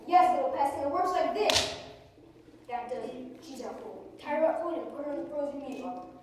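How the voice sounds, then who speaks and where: Recorded from afar in a very echoey hall, a woman acts out a high-voiced evil scientist of radio melodrama. The villain gloats and scolds with theatrical scorn. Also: expressive, fairly quick. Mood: menacing. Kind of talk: amateur radio drama acting. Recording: echoey hall, distant mic, hiss.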